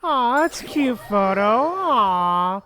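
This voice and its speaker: A woman's voice: medium pitch, big pitch swings, slow pace, crowd noise. A woman giving casual, easygoing, giggly gameplay commentary as a streamer. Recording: game music behind.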